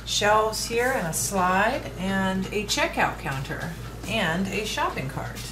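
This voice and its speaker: A woman's voice: medium pitch, lively intonation, slow pace, over music, rough recording. A woman casually demonstrating a toy playset, explaining each piece as she handles it. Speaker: toy reviewer.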